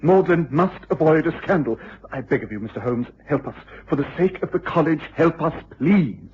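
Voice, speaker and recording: A man playing an agitated, excitable college lecturer with a British accent and a hesitant, pleading manner in a mid-century radio drama. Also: fairly high pitch, moderate pace, natural voice. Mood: frantic, pleading. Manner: anxious, pleading, hesitant repetitions. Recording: muffled, noisy old radio recording.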